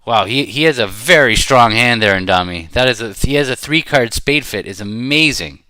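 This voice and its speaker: A middle-aged man giving casual live commentary as he plays a card game, rambling and halting as he thinks aloud. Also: medium pitch, animated, pushed and bright, clean tone, dry recording. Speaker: bridge player commentating while playing.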